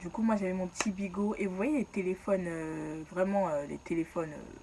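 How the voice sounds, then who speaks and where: A woman's voice: lowish voice, fairly even intonation, brisk, darkish tone, a little hiss or hum. A young woman from Ivory Coast telling her own story in a casual, slangy way and laughing at herself.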